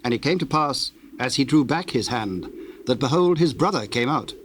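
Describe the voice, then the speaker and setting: A man's voice: medium pitch, animated, normal speed. A man narrating a dramatized scripture reading in a solemn, storytelling style. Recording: hissy old cassette tape, birdsong behind.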